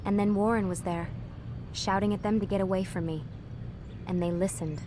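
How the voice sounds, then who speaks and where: A woman's voice: medium pitch, steady tone, normal speed, background noise, lightly acted. Actress as earnest, weary young woman scientist. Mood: troubled, confiding. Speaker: video game character, scientist. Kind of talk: naturalistic game dialogue.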